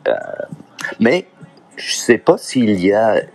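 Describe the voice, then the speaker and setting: Man, very low voice, slow unhurried delivery, halting, searching for words, casual podcast talk. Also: very animated, darkish tone, breathy edge. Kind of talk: casual solo podcast monologue.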